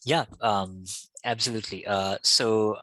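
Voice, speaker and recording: A man in his thirties from Sri Lanka, speaking as a guest in a relaxed, conversational webinar talk over a video call, with a lot of hedging. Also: on the low side, unhurried, dry recording. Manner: relaxed and conversational, with frequent fillers and hedges.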